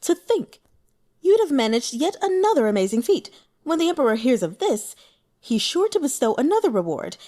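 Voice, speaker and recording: A woman voicing a humble, flattering county magistrate in an audiobook, effusive and obsequious in manner. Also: sits high, normal speed, voice pushed up. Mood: effusive flattery.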